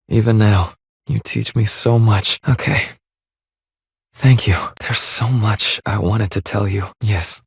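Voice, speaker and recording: An actor playing an earnest, remorseful, devoted husband in a dramatic video game, with formal, measured, solemn phrasing. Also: male voice, on the low side, husky, dry recording, studio quality, heavily acted. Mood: tender, longing.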